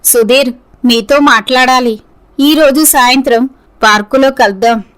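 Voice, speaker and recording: A woman acts out an earnest young office woman in a romantic moral story. Her voice is high, and she delivers her lines in a deliberate, dramatic way. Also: fairly bright tone. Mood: serious, apologetic. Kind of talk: scripted moral story dialogue.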